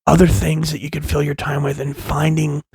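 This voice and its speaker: Gravelly, husky man ranting. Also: fairly high pitch, monotone, moderate pace, no echo. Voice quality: loud voice. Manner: rambling, profane, impassioned, with many restarts. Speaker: vlogger, father. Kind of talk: casual monologue to camera.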